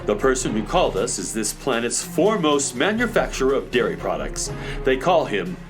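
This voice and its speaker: A man's voice: mid-range voice, big pitch swings, normal speed, over music, lightly acted. An actor playing a lofty, all-knowing cosmic handyman in a children's radio drama, speaking formally and pompously. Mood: stern.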